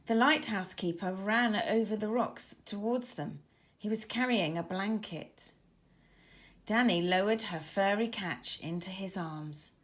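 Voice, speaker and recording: A woman narrating a scripted children's story in a dramatic, expressive manner. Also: medium pitch, measured pace. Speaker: author narrator.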